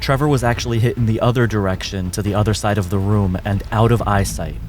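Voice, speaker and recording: A man with a lowish voice runs a tabletop game, describing the action in measured, vivid narration. Music plays clearly underneath. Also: fairly even intonation, a little mellow. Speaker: game master.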